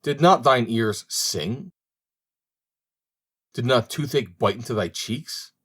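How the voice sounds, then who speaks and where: A man's voice: medium pitch, expressive, slow, a bit bright, breathy edge, voice pushed up, heavily acted. A man voicing Death as a stern, solemn spirit in a storybook, speaking in formal, archaic, commanding phrases. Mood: stern, reproachful.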